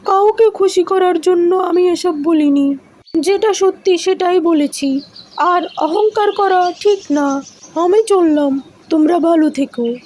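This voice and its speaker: Woman as a very high-voiced wise fox. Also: takes it slowly, a little mellow. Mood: admonishing. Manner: reasoning, admonishing. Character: wise, fair-minded fox uncle, calmly advising. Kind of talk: children's moral cartoon voice acting.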